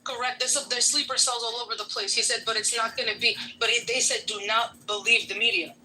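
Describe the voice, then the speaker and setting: A woman's voice: a little high, fairly even intonation, fairly quick, bright, forceful tone, a little hiss or hum, rough recording. A woman from New York who works as an immigration law clerk, talking bluntly and profanely in a casual voice-chat room, firing off rhetorical questions. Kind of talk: heated casual chat on a voice-chat app. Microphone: phone mic on a voice-chat app.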